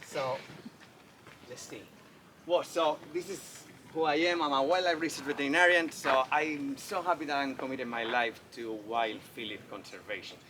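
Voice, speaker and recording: A man's voice: mid-range voice, moderate pace, pushed and bright. A man from Spain with a Spanish accent, a wildlife veterinarian giving a friendly, enthusiastic public talk at an outdoor festival. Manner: enthusiastic, friendly, asks the audience questions. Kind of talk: public lecture. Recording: noisy outdoor festival recording.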